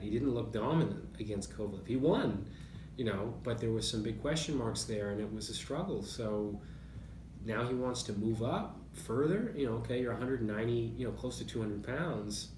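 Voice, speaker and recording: A man speaking as an interview guest in a thoughtful, measured, anecdotal way, telling stories conversationally about sport. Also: fairly low pitch. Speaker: interview guest, boxing writer. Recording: roomy, distant mic, noticeable background noise.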